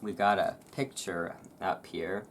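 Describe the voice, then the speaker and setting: Slow, low-pitched voice reading over rainstorm. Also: fairly even intonation. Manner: informal, self-deprecating, stumbles over foreign words. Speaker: host reading a magazine aloud. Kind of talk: relaxed ASMR-style reading with casual asides. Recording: clear background noise of rain and thunderstorm. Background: rain and thunderstorm ambience.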